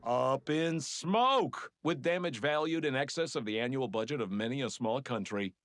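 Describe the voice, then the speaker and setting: An actor playing a mocking radio news presenter who talks in a sardonic, grandiose, mock-poetic way. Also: male voice, mid-range voice, lively intonation, moderate pace, soft background music, close to an everyday voice. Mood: sneering. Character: mocking theatrical male radio newsman. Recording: game audio with loud ambience. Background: loud city ambience.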